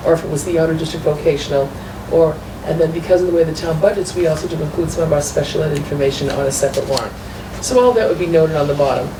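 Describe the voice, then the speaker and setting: A woman's voice: low voice, fast. A woman presenting a financial report at a school committee meeting, walking the members through documents step by step. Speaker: school business manager. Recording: echoey far-off room mic with noise.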